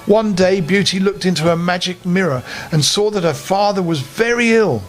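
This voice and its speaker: A man's voice: sits high, moderate pace, faint music behind. An older man reading a fairy tale expressively to children as a storyteller, with friendly asides. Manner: animated, with friendly asides to young listeners.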